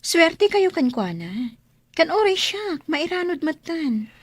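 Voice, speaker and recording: A woman's voice: very high-pitched, sing-song, slow pace, no room sound, heavily acted. An actress with an Ilocano accent playing an eager, supportive young woman in a radio drama. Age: young adult.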